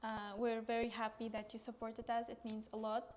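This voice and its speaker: A woman's voice: fairly high pitch, completely flat delivery, brisk, darkish tone. A young woman hosting a student podcast interview, speaking hesitantly with many fillers and little giggles, in a small office room. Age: young adult. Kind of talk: casual interview.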